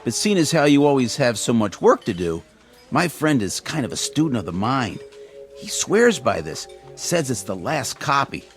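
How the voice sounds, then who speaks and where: A man's voice: medium pitch, big pitch swings, normal speed, faint music behind, close to an everyday voice. An actor playing an eager, clumsy, gullible male coworker who talks in an earnest, folksy, emphatic way in a television drama.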